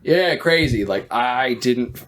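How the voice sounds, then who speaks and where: A man's voice: mid-range voice, lively intonation, takes it slowly, fairly bright tone. A young man from Massachusetts with a light Boston accent, tired, chatting loosely as a podcast co-host, full of "like" fillers and casual swearing. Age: young adult. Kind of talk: casual chat.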